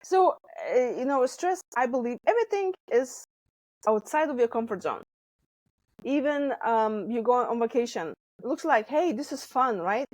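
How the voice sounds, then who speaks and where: A woman's voice: a little high, moderate pace, dry recording. A woman in her forties from Lithuania with a Lithuanian accent, a stress coach telling her story animatedly in a podcast interview. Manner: animated storytelling with laughs and acted quotes. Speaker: guest, stress management coach.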